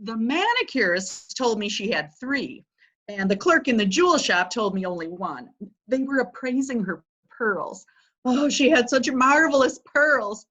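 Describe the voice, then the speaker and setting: A woman's voice: fairly high pitch, animated, measured pace, a bit bright, poor audio. A woman reading a chatty, gossipy society matron in a stage reading. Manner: gossipy and eager. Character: chatty society matron Mrs. Payne Dexter. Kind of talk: readers theatre reading.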